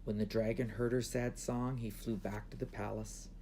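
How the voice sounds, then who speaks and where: A man's voice: medium pitch, level tone, normal speed, a little mellow, a little hiss or hum. A man reading his own children's book aloud as a storybook narrator.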